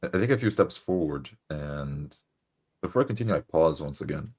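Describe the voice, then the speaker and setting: A man playing a tabletop game, describing his character's actions in a halting, casual way. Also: very deep voice, animated, darkish tone, slightly rough.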